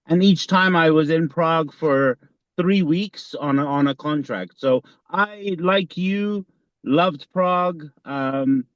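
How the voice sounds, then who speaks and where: A man, co-hosting a podcast in casual conversation, halting with many fillers and restarts. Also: on the high side, fairly bright tone, dry recording. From Iran. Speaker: podcast co-host. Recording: slightly dull lo-fi sound.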